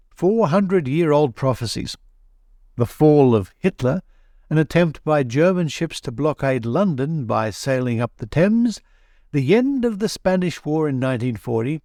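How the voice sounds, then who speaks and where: A man hosting a podcast, reading scripted material in a measured, theatrical way. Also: on the high side, a little mellow, dry recording, clean studio sound. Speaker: podcast host. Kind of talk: scripted podcast narration, reading old newspaper pieces.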